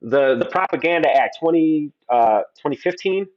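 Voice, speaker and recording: A man's voice: fairly high pitch, expressive, moderate pace, lo-fi sound. A man in his forties, a guest on a livestream, talking earnestly and at length with many restarts. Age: 40s. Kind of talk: opinionated conversation.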